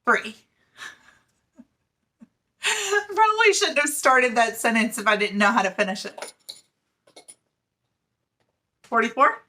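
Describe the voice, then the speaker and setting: A chatty woman in her forties talks straight to the camera about her knitting. Her voice is bright and fairly high, and she laughs easily between "um"s and "like"s as she goes. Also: animated, measured pace. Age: 40s. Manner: chatty and giggly, with many fillers. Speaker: knitting podcast host; middle school teacher. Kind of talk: casual chat to camera.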